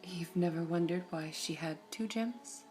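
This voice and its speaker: A woman voicing a serene all-seeing female gem in an ASMR roleplay, whispering softly in a measured, formal way. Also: on the low side, faint music behind, lightly acted. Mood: calm whispering.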